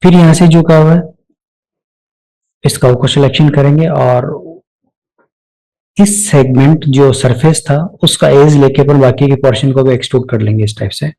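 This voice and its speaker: A man giving a step-by-step software tutorial off the cuff, mixing English technical terms into his speech. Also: medium pitch, moderate pace, long pauses, poor audio. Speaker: software instructor.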